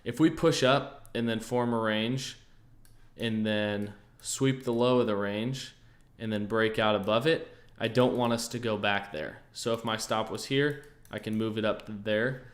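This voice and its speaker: A young American man hosting a casual livestream, easygoing and thinking aloud, often pausing on fillers and restarting his sentences. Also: fairly low pitch, steady tone. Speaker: trading livestream host. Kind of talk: casual livestream chat answering viewers.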